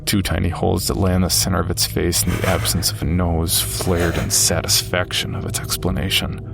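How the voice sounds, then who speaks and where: A deep-voiced horror narrator, tells his own frightening story in a measured, grave way. His delivery is very even and gravelly, with music underneath. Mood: grave, uneasy. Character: man recounting a frightening childhood encounter with a monster. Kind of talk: scripted first-person horror narration.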